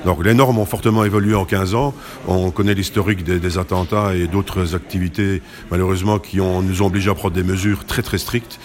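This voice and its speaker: Fast-talking, low-voiced man. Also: fairly even intonation. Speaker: carnival committee president, interview guest. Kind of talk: conversational interview answers.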